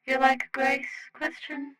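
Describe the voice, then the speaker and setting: Casting: reader performing little rock alien for audio fiction. Bright, crisp-edged tone, clipped broken grammar, blunt but good-hearted. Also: sits high, level tone, takes it slowly, voice pushed up, full character voice, poor audio. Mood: blunt, kindly. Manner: speaks clipped broken English, announces questions by saying "question". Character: small alien rock creature with legs, cheerful blunt matchmaker. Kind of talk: scripted fiction dialogue, translator voice.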